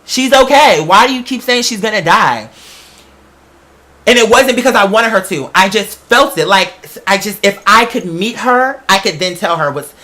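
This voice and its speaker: A very bright, forceful voice at a middle pitch tells a personal story conversationally. The speaker is candid and informal, using slang and the odd swear word. Key phrases get repeated for emphasis. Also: lively intonation, moderate pace.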